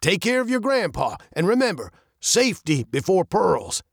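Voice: medium pitch, big pitch swings, moderate pace, gravelly, breathy edge, no echo, clean studio sound, pitched above the performer's own voice, exaggerated voice. A storybook narrator voicing a genial, plain-spoken male captain with a folksy, modest manner. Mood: friendly, brisk. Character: genial, self-deprecating male captain from lake folk.

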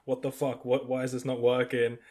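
A young man, an engineering student, chatting candidly and telling animated stories with casual swearing. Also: mid-range voice, a little fast. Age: young adult. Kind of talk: casual podcast chat.

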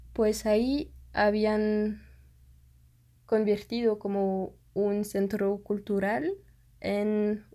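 Slow-talking woman, French native speaker. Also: medium pitch, warm tone. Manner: hesitant, searches for words, many fillers. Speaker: vlogger. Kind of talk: casual storytelling to camera.

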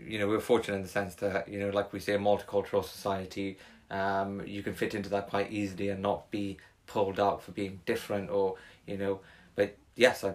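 A young man, talking casually as a podcast guest, rambling with many fillers and restarts. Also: on the low side. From Wales. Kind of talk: casual conversation.

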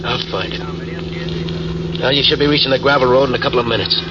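An actor playing a battered, cunning male ex-con in an old radio drama, weak and in pain, speaking quietly, tersely and guardedly. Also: mid-range voice, fairly even intonation, fast talker, highs cut off, close to an everyday voice. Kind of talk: 1940s radio acting. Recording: distant, very noisy old radio recording.

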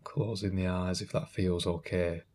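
Low-voiced man guiding a meditation. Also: slight background noise. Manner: calm, unhurried, gentle instructions with long pauses. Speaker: meditation guide. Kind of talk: guided meditation, spoken instruction.

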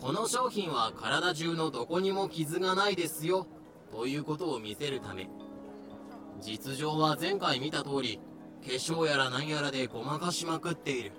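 A man narrating as a deadpan explainer in an audio drama, giving clipped, dictionary-like notes. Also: a little high, moderate pace, close to an everyday voice. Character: deadpan explainer of historical terms. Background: clear background music.

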